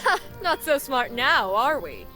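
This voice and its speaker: A woman's voice: high-pitched, sing-song, a little slow, sharp tone, soft background music, full character voice. A woman voicing a cocky, eager young adventurer in a fantasy video game, full of boastful, teasing banter. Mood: mocking. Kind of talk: English video game cutscene acting.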